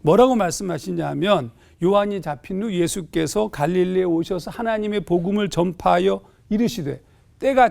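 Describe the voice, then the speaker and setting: High, gravelly-voiced pastor explaining scripture. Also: male voice, darkish tone. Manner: thoughtful, explanatory, with hesitant fillers. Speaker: pastor on a panel. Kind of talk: panel discussion explaining scripture. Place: TV studio.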